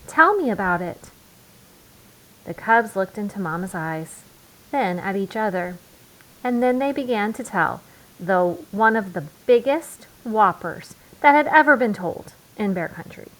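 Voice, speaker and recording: Slow-paced woman reading a storybook. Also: medium pitch, expressive, rough recording. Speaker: children's book reader. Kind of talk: storybook read-aloud narration.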